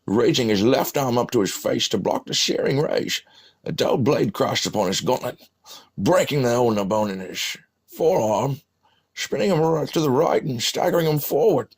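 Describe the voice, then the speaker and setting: A man doing a parody of an old Scottish film star, narrating a mock-epic fantasy battle for a video game in a husky, gravelly voice with halting, muttered asides. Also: mid-range voice, heavily acted. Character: parody elderly Scottish screen legend narrating fantasy battle, wryly amused.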